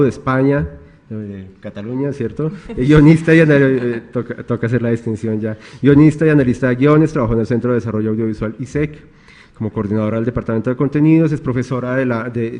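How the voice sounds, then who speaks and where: Mellow-voiced man moderating a panel. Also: medium pitch. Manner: hesitant, many fillers and restarts. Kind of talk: introducing guests at a panel. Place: conference room with audience.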